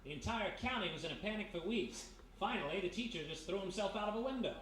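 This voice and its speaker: A man's voice: high-pitched, expressive, quick pace, fairly bright tone. Man playing a wisecracking detective, echoey stage. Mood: wry. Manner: wry, wisecracking, casual. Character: flippant, streetwise American male private detective. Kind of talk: live old-time radio mystery acting. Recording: echoey live stage, distant mic, faint noise.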